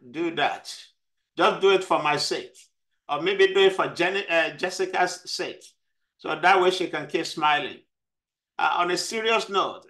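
A man's voice: a little high, sharp tone. Emphatic man with a South Texas accent. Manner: emphatic, repeats key phrases. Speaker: club member giving a speech. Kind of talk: motivational club speech. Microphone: video call.

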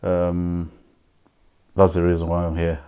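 A man in his thirties with a Ugandan accent, speaking softly and reflectively in an interview from his living room. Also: deep voice, monotone, measured pace, clean tone, muffled recording. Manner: soft-spoken, reflective, with fillers. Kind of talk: personal interview answers.

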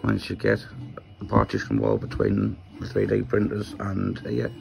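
A man from Newcastle with a Geordie accent and a croaky voice, casually talking through his workshop project while filming on a handheld phone in a small shed. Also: fairly low pitch, sing-song, normal speed, a little hiss or hum, poor audio. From Newcastle, England. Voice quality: croaky, gravelly voice. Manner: rambling, explains while handling parts. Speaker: maker vlogger.

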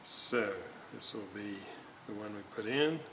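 Slow, low-voiced man at a workbench. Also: background noise. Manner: slow, muttering to himself while working. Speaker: radio restorer. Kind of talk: hands-on repair walkthrough. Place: workbench indoors.

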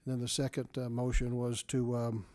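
A man, a regional council chair, reporting motions to a board in a meeting hall, halting with many uhs and restarts. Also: medium pitch, completely flat delivery, darkish tone.

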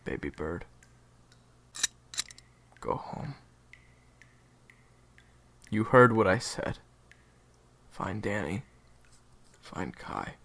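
A man's voice: low voice, very animated, extremely slow, vocal fry, pauses often, a bit bright, exaggerated voice. A man playing a weary, conflicted assassin, cold but hurting, in an audio roleplay drama. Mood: anguished farewell.

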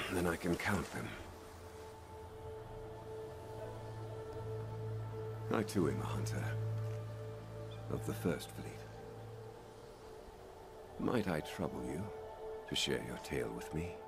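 A wandering old-guard hunter in a video game, solemn and courteous. His voice is deep and gravelly, with formal phrases delivered slowly over music. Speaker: veteran hunter character. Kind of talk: scripted video game cutscene dialogue. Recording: music under the voice.

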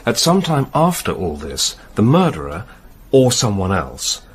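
An actor playing a senior British police detective in a radio play, husky, measured and questioning with authority. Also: male voice, on the low side, huge pitch swings, slight background noise. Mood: businesslike. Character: senior male police detective, methodical and probing.

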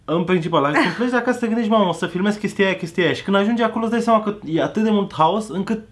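A young man telling a story to the camera in a casual vlog, joking and laughing often. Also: high-pitched. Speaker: vlogger. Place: living room, seated on a sofa.

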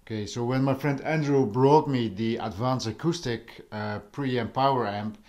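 A man talking casually as a vlog host, explaining things in a musing, unhurried manner while filming indoors. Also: mid-range voice, darkish tone. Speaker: audio vlogger, host. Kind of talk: casual vlog talk over handheld footage. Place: living room with carpet and couch, indoors.

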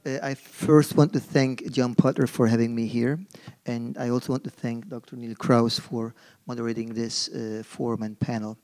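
A man's voice: medium pitch, flat delivery, normal speed, a little mellow. A middle-aged man from Turkey with a Turkish accent, speaking on a forum panel as a marketing professor, hesitant with many fillers and restarts, into a podium microphone on a stage. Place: stage in a dark auditorium. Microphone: gooseneck podium mic.

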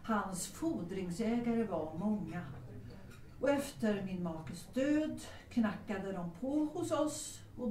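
An actress playing a proud, unsentimental middle-aged widow of good family in a period audio drama, speaking formally. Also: female voice, medium pitch, a little mellow, lightly acted. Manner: formal, dignified, matter-of-fact. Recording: roomy, distant microphone.

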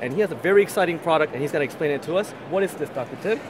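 A man's voice: a little high, lively intonation, racing, background noise. A man in his thirties hosting an aquarium channel, walking through a trade show and showing products with eager, self-deprecating chatter. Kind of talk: trade show walkthrough and interviews. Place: busy indoor expo hall. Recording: crowd chatter from a busy hall.

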